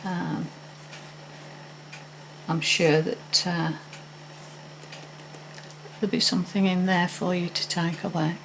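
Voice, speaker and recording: A woman's voice: fairly low pitch, flat delivery, slow pace, gravelly, long pauses, slight background noise, poor audio. An older woman telling a personal story in a casual, hesitant, meandering way with frequent restarts. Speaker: vlogger.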